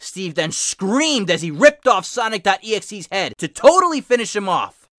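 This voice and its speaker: A man reading fan fiction aloud in an animated, dramatic, emphatic style with his own asides. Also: sits high, no echo, clean studio sound. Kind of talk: animated storybook-style reading in a cartoon hero character voice with asides.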